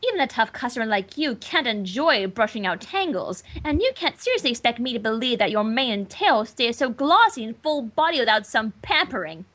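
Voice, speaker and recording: High-voiced, elegant unicorn lady, played by a woman, fussy and coaxing, very animated delivery. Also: fairly quick, hardly any pauses. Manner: prim, theatrical, wheedling. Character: refined, fussy, coaxing female unicorn fashionista.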